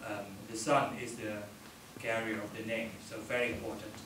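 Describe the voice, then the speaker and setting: A middle-aged man with an Indonesian accent tells his own story at a panel discussion, pausing often mid-sentence. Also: lowish voice, level tone. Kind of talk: personal account at a panel discussion. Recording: roomy, noisy, distant microphone.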